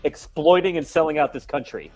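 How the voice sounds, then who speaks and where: A man's voice: a little high, brisk, a little mellow, soft background music. A man co-hosting a live talk show, ranting in a heated, casual way.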